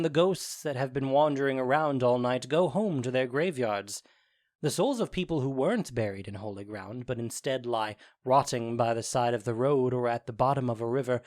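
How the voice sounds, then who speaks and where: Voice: mid-range voice, a little mellow, lightly acted. An actor playing a mischievous goblin sprite in an audio drama, theatrical and playful in delivery. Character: Puck, mischievous goblin sprite serving the fairy lord. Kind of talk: audio drama acting of modernized Shakespeare.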